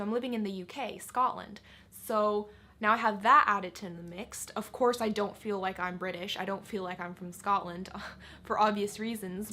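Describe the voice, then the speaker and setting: A woman's voice: on the high side, fairly bright tone. A young woman with an American accent, thinking aloud in a casual, searching way as she talks to her viewers. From Finland. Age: young adult. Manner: thoughtful, searching, asks rhetorical questions. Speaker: vlogger. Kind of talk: casual reflective talk to camera.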